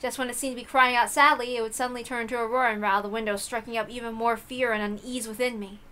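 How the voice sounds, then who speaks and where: A woman narrates a visual novel with expressive delivery. Her voice is high and clearly bright, carrying the story as its reader. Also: quick pace.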